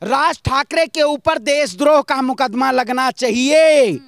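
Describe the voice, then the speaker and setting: A man's voice: very high-pitched, unhurried, a bit bright, no echo. A man from northern India giving political opinions in an impassioned, rhetorical street-speech style, emphatic and fond of repetition.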